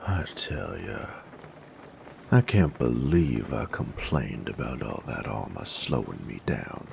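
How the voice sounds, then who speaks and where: A man playing a weary, sardonic knight in a fantasy audio drama, grumbling and teasing in a gravelly, folksy drawl. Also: slight background noise, full character voice. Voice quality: gravelly, very deep.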